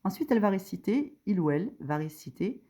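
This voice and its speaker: A woman's voice: medium pitch, big pitch swings, fairly quick, warm tone. A woman giving an informal religious lesson, explaining step by step with frequent hesitations. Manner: hesitant, many fillers, rising emphasis on key points. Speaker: religious teacher. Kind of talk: informal tutorial explaining a diagram.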